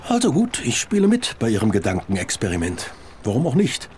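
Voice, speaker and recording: A sceptical doctor, widely varied intonation. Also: male voice, mid-range voice, wildly swooping pitch, brisk, a little mellow, slight background noise. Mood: indulgent, incredulous. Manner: incredulous, ironic, patronising. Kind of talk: radio play dialogue.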